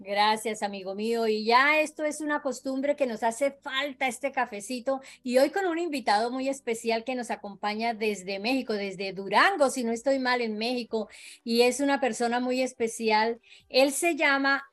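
High-voiced woman hosting. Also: moderate pace. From Colombia. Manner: warm, effusive greetings. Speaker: host. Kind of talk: online talk show hosting.

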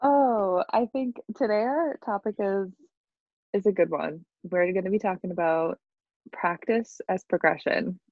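Gravelly deep-voiced woman, teasing. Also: measured pace, dry recording, narrow, tinny audio. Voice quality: deep, chesty. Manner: teasing, giggly. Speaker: podcast co-host. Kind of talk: casual chat over video call. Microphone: video call.

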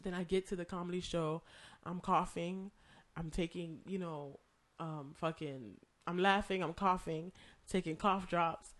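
Hoarse, swearing storyteller with a bright tone. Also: medium pitch, steady tone, unhurried. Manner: profane, rambling storytelling. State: hoarse, losing voice from a cough. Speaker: podcast co-host. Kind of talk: casual chat.

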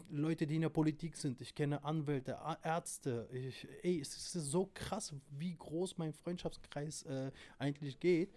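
Man, fairly high voice, casual podcast guest, slangy, emphatic, sweary street talk. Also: dark tone. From abroad, came to Germany late. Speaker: interview guest, corner shop owner.

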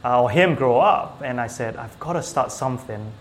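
Guy from singapore, medium pitch, chatting about his work, kinda halting and hesitant. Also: lively intonation. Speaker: small business owner, IT technician, interview guest. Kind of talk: casual interview chat.

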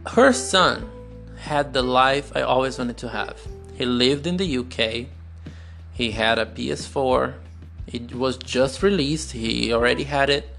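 Slow-talking Brazilian man, podcast host. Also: mid-range voice, a bit bright. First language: Portuguese. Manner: slow, searching, candid, with pauses and restarts. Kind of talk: solo personal storytelling podcast. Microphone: phone.

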